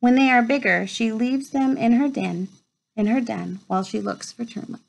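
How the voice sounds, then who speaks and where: A woman in her thirties with a fairly high voice reads a children's nature book aloud. Her phrasing is measured, as for storytime. She is indoors in a room at home, picked up by a device at about arm's length. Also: creaky, poor audio. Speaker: read-aloud presenter.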